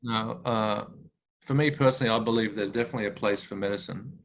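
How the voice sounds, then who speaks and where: A man's voice: lowish voice, fast talker, slight background noise. A man hosting a casual interview, asking questions hesitantly with frequent fillers. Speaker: podcast host, interviewer.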